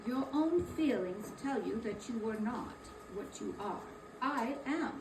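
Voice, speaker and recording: A woman playing a sorrowful, dignified young fiancee in a dramatic reading, earnest, formal and gently reproachful. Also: on the high side, expressive, a little slow. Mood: sorrowful, resolute. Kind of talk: dramatic reading of a Victorian story. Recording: roomy, distant mic, noisy hall.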